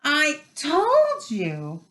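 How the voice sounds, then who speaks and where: A woman's voice: mid-range voice, lively intonation, normal speed, lightly acted. A woman voicing a bossy, exasperated young schoolgirl in a storybook, scolding and insistent. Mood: gasping reproach.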